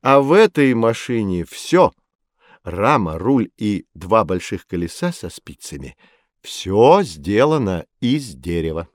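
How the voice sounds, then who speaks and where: A patient teacher type explaining stuff to kids, really expressive storytelling, dry studio-close sound. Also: male voice, lowish voice, slow, no room sound. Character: patient, knowledgeable male teacher and guide. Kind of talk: scripted children's educational audio drama.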